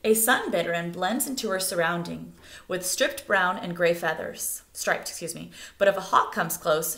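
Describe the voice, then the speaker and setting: A woman reads a nature picture book aloud as expressive narration meant for children. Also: lowish voice. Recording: echoey room.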